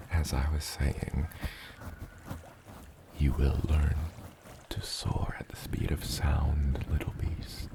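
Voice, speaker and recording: A man voicing a gentle, doting father dragon in an ASMR roleplay, murmuring slowly and tenderly and often dropping to a whisper. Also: exaggerated voice. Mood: tender, encouraging. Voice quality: very deep, gravelly. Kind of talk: ASMR roleplay, addressing the listener as his child.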